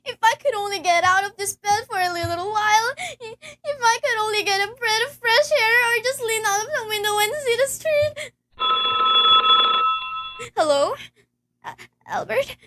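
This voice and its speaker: Bedridden, demanding nervous wife for radio play, female performer; piercingly high, stammering delivery, noisy recording. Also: moderate pace, a bit bright. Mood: frantic, exasperated. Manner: fretful, stammering, insistent. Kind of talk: amateur radio play acting.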